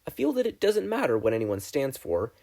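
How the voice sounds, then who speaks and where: A man's voice: medium pitch, huge pitch swings, a little fast, gravelly, warm tone, pitched above the performer's own voice, exaggerated voice. A man voicing a blunt, stoic teenage boy student in a story reading, formal and firm. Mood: firm, disapproving.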